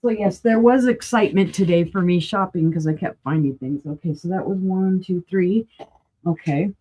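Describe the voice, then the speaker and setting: A woman's voice: on the low side, moderate pace, warm tone, highs cut off. Chatty woman running an auction. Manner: chatty, enthusiastic, rambling asides. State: losing her voice, clearing throat. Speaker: live auction seller. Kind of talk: live online auction sale.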